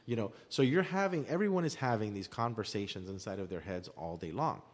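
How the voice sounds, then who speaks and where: A man's voice: lowish voice, expressive, brisk. A man explaining his opinions at length in a discussion, emphatically repeating phrases for effect. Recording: long hall-like reverb.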